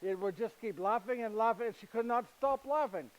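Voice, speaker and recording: An actor performing a first-person monologue as a gentle, humorous husband and father. He speaks broken, foreign-sounding English and keeps checking in with listeners through tag questions like "yes?". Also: high voice, a little fast, lightly acted, rough recording. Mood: fond storytelling. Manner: chatty, storytelling, addresses listeners directly. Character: gentle, humorous male carpenter, husband and father, middle-aged. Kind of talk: live theatrical monologue.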